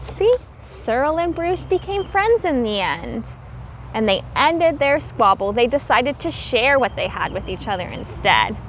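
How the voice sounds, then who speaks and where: A woman teacher reading a children's storybook aloud in an expressive, theatrical way and explaining things simply for young listeners. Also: fairly high pitch, measured pace, a little hiss or hum.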